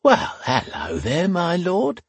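A man's voice: high voice, huge pitch swings, takes it slowly, breathy edge, dry recording, voice pushed up, heavily acted. A man voicing a coarse, lecherous middle-aged tavern woman with a suggestive, folksy drawl in a fantasy audiobook. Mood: flirtatious, lecherous. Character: lecherous, homely heavy-set tavern woman in her forties, coarse.

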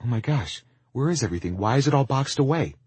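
Audiobook role: flustered young woman, performed by a man, raised pitch, husky, emotional and wounded. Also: normal speed, no echo. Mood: soft, hesitant. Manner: emotional, expressive. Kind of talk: audiobook character acting.

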